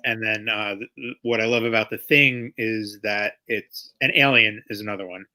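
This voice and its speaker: A man in casual conversation as an interviewed writer, thinking aloud haltingly and circling back over his phrases. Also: lowish voice, steady tone, bright, forceful tone, low-quality recording. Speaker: comic book writer being interviewed. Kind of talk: casual chat.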